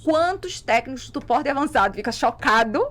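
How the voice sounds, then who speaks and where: A Brazilian woman chatting emphatically on a podcast, telling things in an animated conversational way. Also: high voice, fairly quick. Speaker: podcast host and mentor.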